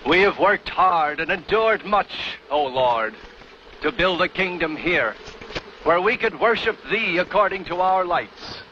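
An actor playing an old pioneer preacher in a 1950s western TV drama, intoning a solemn prayer. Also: male voice, high-pitched, animated, unhurried, pushed and bright, a little hiss or hum, heavily acted. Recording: dull old TV soundtrack with music.

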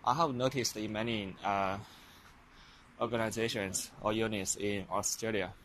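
A man's voice: fairly low pitch, steady tone, normal speed, a bit bright. A young man with a Chinese accent talking in a casual vlog, hesitant and full of fillers and restarts, recorded on a handheld phone while walking outdoors on a campus. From China. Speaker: vlogger. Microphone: handheld phone camera while walking. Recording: outdoor noise behind the voice.

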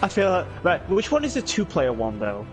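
A man's voice: high voice, huge pitch swings, fairly quick. A young man playing a game with a friend, joking and self-mocking with some swearing. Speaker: co-op player. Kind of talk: casual gaming chat. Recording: game music playing under the voice.

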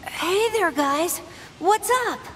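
A woman's voice: very high voice, slow, fairly bright tone, slight background noise, full character voice. A woman voicing a small, hapless, friendly machine creature in a video game.

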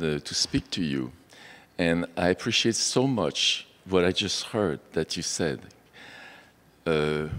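A middle-aged man from France lectures with a French accent and a notably deep voice. He stops and restarts often, filling pauses as he goes. His voice rings with the long reverb of a large hall. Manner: halting, many fillers and restarts. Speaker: guest lecturer. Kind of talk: public lecture.

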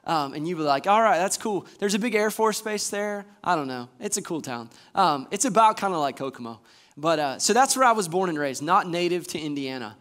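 A man in his thirties from Georgia, an assistant pastor preaching a lively sermon on a church stage and asking the congregation questions. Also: a little high, brisk. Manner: enthusiastic, gestures widely, asks the congregation questions. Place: church stage before a screen.

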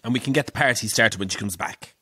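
A man's voice: on the low side, fast talker, bright, forceful tone, husky, dry recording. An actor with a Dublin accent playing a catty, wisecracking male pantomime dame in an audio drama, teasing and sardonic. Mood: dry, wry. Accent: Irish, Dublin. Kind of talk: audio drama, comic banter.

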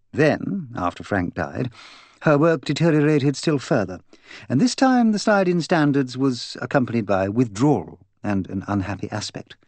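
Audiobook role: bossy, talkative English gossip woman played by a man, lifted pitch, swooping delivery, dry close recording. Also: darkish tone, no echo. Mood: opinionated, emphatic. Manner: bossy, emphatic, voluble. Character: imperious, gossipy, opinionated English woman confiding at length. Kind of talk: audiobook character dialogue.

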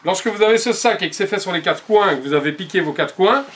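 A man's voice: high-pitched, lively intonation, fast talker, a bit bright, a little hiss or hum. A man in his forties patiently giving step-by-step upholstery instructions in his workshop showroom, recorded on the camera mic. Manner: patient, explanatory, addresses viewer directly. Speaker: upholsterer demonstrating cushion making.

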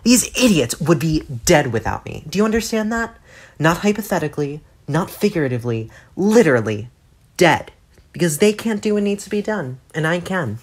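Casting: woman to play vindictive young female alien troll, boastful and emphatic, very animated delivery. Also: lowish voice, moderate pace, breathy edge. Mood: angry, defiant. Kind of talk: scripted character monologue in audio drama.

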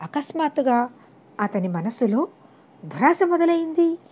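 A woman's voice: high-pitched, big pitch swings, unhurried, a little mellow, breathy edge. A woman narrating a scripted moral story as an audiobook narrator, on a dull, lo-fi recording.

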